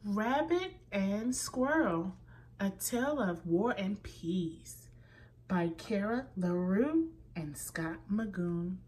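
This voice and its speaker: A woman reads a picture book aloud to small children, taking her time over every phrase. Her pitch sits in an ordinary middle range. She speaks clearly and with expression, and sounds out letters as she goes. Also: expressive, slow, a little mellow, slight background noise. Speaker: children's story reader.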